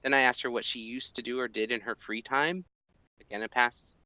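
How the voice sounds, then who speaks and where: A man in his thirties, a language teacher, talking through an assessment form at his desk, thinking aloud with many ums and pauses. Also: medium pitch, fairly even intonation, pushed and bright, no room sound, low-quality recording. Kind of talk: screen-recorded walkthrough of an assessment. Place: small indoor room at a desk.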